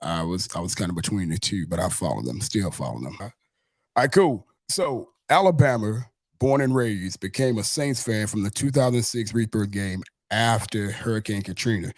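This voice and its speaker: A man's voice: on the low side, animated, normal speed, darkish tone, dry recording, clean studio sound. A man from Mississippi with a Southern US accent, hosting a casual sports interview in a wordy way that circles back over phrases. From Mississippi, United States. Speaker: podcast host.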